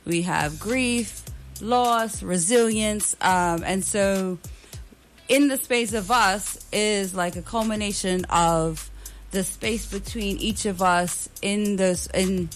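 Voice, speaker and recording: A woman's voice: medium pitch, slow pace, soft background music. A woman from Bermuda, a dance artist, talking earnestly about her show in a radio studio interview, with frequent filler words. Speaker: guest dancer and choreographer.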